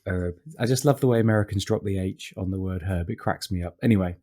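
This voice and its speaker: A British man hosting a casual podcast, his voice very low and deep. It is husky and croaky from a lingering cold. He rambles through his points with fillers and frequent chuckles. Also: fast talker, warm tone. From Britain. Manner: rambling, laughs often, many fillers. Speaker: podcast host.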